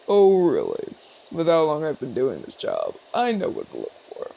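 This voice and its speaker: A man's voice: a little high, wildly swooping pitch, normal speed, creaky, mellow, a little hiss or hum, heavily acted. A man playing a seasoned, cocky but easygoing orc commander in an audio roleplay, talking in a casual, teasing, explanatory way. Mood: confident, explaining. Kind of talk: ASMR audio roleplay acting.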